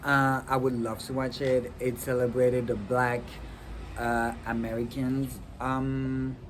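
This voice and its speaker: A man whose first language is French talks slowly and haltingly to a phone on a tripod. His pitch sits in the middle range, and he fills his pauses with ums. Wind noise and outdoor ambience rush over the phone mic. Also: level tone, rough recording. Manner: halting, many ums and restarts. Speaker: vlogger. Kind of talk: casual talk to camera.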